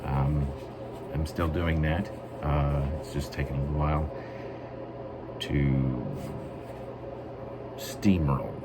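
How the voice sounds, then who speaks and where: A man reading his own writing as a poet, with deliberate, emphatic phrasing. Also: a bass voice, fairly even intonation, loud music behind the voice. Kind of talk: dramatic poetry reading with casual spoken introduction.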